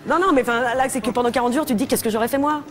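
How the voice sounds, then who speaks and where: A woman being interviewed in an archive clip, chatty and quick with her answers. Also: sits high, soft background music.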